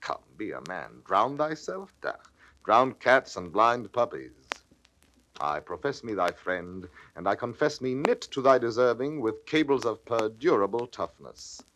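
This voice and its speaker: An actor playing a cynical, scheming young soldier villain in a classical audio drama, mocking and persuasive in a declamatory stage manner. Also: male voice, medium pitch, very animated, unhurried, a bit bright, slight background noise, low-quality recording. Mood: coaxing, urging. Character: scheming cynical soldier, male villain, aged twenty-eight. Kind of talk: classical radio-play stage acting.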